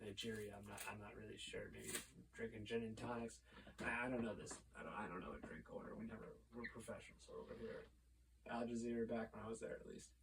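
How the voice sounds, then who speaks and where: A man's voice: on the low side, fairly even intonation, fast, low-quality recording. An American man from the United States hosting a playful mock press conference in a rambling, mock-formal way. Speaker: vlogger. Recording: loud background noise.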